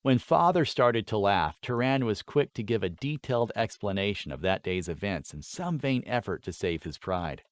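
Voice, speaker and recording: A man's voice: fairly low pitch, expressive, moderate pace, hardly any pauses, no echo. A man reading scripted fantasy fiction as a dramatic audiobook narrator.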